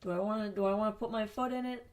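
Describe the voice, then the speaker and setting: A woman's voice: mid-range voice, fairly even intonation, rapid-fire. Woman, nasal, sniffly with a cold, rambling, self-mocking, podcast host, basement studio. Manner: rambling, self-mocking, swears, bursts of loud exasperation. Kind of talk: solo casual podcast chat.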